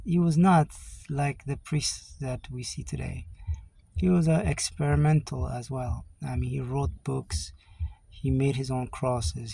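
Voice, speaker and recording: A man in his forties from Ethiopia, telling his life story to the camera in a halting way, with many fillers and restarts. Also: medium pitch, creaky, warm tone, husky, poor audio. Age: 40s. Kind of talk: slow personal storytelling to camera. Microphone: camera mic.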